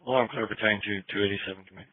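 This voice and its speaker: A male fire dispatcher answers in a low, very flat voice with short radio acknowledgements. The transmission is thin and narrowband. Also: very fast. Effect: two-way radio.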